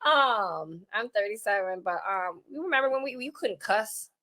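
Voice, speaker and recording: A woman's voice: medium pitch, lively intonation, normal speed, pushed and bright. A woman in her thirties talking candidly as a podcast guest, earnest and rambling, often asking "you know what I'm saying". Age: 30s. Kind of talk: candid conversational talk.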